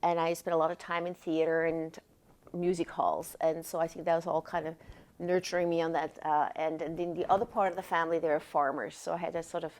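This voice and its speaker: A woman in her sixties with a Swiss accent, recalling her life informally and haltingly in an interview, thinking aloud as she goes. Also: sits low, flat delivery, a little mellow. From Switzerland. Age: 60s. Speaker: former dancer and choreographer being interviewed. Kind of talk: oral history interview, personal recollection.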